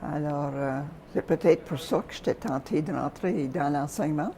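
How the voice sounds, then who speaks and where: An older woman from Calgary, Canada, looks back on her life in a soft, mellow voice. She speaks hesitantly, pausing often and filling gaps as she recalls details. There is a faint hiss behind her voice. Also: low voice. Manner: soft-spoken, hesitant with frequent pauses. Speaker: interview guest. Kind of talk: reminiscing in an interview.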